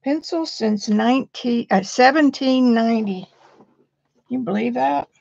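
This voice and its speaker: A woman's voice: a little high, unhurried, creaky, darkish tone, lo-fi sound. A woman, chatting casually on a live stream as an art teacher, a bit sniffly, describing her supplies to viewers.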